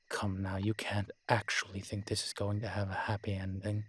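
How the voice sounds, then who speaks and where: A man playing a world-weary, morose male vampire in a roleplay audio drama, speaking in a measured, formal, brooding manner with sighs and pauses. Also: fairly low pitch, little pitch movement, a bit bright, slightly rough. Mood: worried, grim. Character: weary old male vampire, morose realist, formerly cruel.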